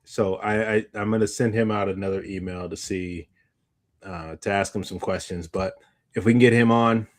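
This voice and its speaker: A man's voice: on the low side, fairly even intonation, normal speed. A tired man hosting a podcast, chatting casually in a rambling way and often backtracking mid-sentence. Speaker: podcast host. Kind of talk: casual chat.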